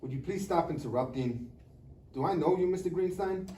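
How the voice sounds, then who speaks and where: A man's voice: on the high side, brisk, mellow, lightly acted. A man playing a stern, impatient juvenile court judge with a curt, authoritative manner in a live table read. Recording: echoey room, distant mic, faint noise.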